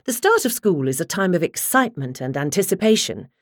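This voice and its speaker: A woman reading a nonfiction audiobook in a measured, articulate way, close to the microphone. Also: lowish voice, sing-song, dry recording. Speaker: audiobook narrator. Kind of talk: scripted nonfiction audiobook narration.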